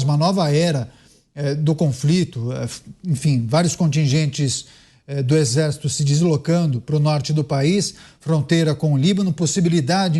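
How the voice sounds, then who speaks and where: A man's voice: a little high, dark tone. A Brazilian man hosting a news debate, setting out context and putting questions to commentators. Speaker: news show host.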